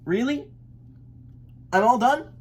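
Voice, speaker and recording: A man's voice: very high voice, animated, slow, husky, pitched above the performer's own voice, exaggerated voice. A man voicing a cheerful, plucky little boy duckling in a storybook, with a breezy, theatrical manner. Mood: breezy, sassy.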